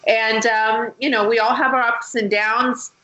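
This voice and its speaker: A woman with a bright, forceful voice talks as an interview guest, animated and chatty. Her audio is slightly dull and lo-fi. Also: on the high side, unhurried. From Canada. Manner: animated, chatty, with frequent restarts and laughs. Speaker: guest, matchmaker. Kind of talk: interview storytelling.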